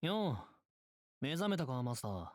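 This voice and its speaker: A man's voice: medium pitch, very animated, moderate pace, dry recording, studio quality, natural voice. An actor playing a cheerful, cocky young male servant spirit with a violent streak in a Japanese visual novel, chattering flippantly. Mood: teasing greeting.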